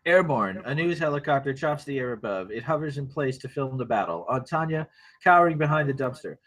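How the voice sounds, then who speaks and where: A man's voice: mid-range voice, lively intonation, fairly quick, fairly bright tone, poor audio. A man reading stage directions aloud as narrator of a screenplay table read, in a dramatic present tense.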